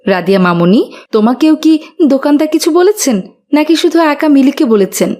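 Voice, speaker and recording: A woman voicing a gentle, caring mother who patiently teaches morals in a children's cartoon. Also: high-pitched, animated, normal speed.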